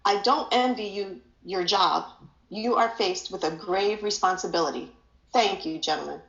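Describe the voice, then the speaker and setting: A woman's voice: medium pitch, a bit bright, lightly acted. Woman reading as a solemn judge, echoey room. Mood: grave, solemn. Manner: formal, measured. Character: grave, solemn male judge instructing a jury. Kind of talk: scripted play reading. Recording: echoey room, dull lo-fi audio.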